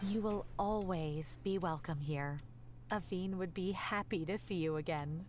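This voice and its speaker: A woman voicing a small, gentle forest spirit in a video game, soft and welcoming. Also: lowish voice, unhurried, a little hiss or hum, close to an everyday voice. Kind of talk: game voice acting.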